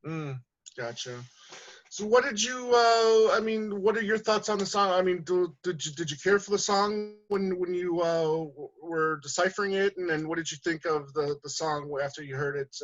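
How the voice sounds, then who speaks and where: A man's voice: on the high side, moderate pace, a bit bright, dry recording, dull audio. A man hosting a podcast, asking long hesitant questions full of fillers. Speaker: podcast host. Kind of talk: casual podcast interview.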